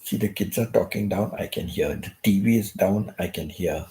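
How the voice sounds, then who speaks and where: An older man hosting a casual chat from his bed, keeping his voice low and nearly whispering, so it comes out hoarse. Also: moderate pace. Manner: speaks softly, almost whispering; hums tunelessly between thoughts. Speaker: channel host. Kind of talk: casual rambling livestream chat. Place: bedroom, in bed.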